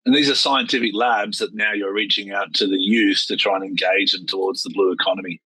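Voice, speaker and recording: A middle-aged man hosting a casual interview, chatty and quick to react. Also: on the low side, fairly even intonation, pushed and bright, husky, no room sound, rough recording. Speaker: interviewer, yacht captain host.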